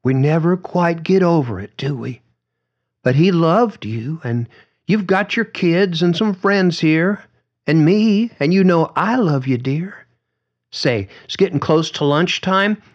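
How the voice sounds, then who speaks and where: A man voicing a gentle, cheerful middle-aged woman who works as a care-home aide, coaxing and folksy, in an audiobook. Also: fairly high pitch, lively intonation, takes it slowly, muffled recording, voice pushed up. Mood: gentle, consoling. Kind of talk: audiobook character dialogue.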